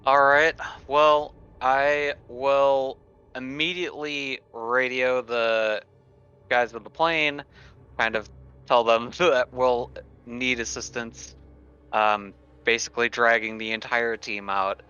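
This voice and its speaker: A man speaks slowly and hesitantly as a player at a tabletop game, working things out aloud. His voice is smooth and clear. The audio is a bit lo-fi, with music faintly behind. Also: medium pitch, steady tone, bright, forceful tone. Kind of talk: casual game-table talk.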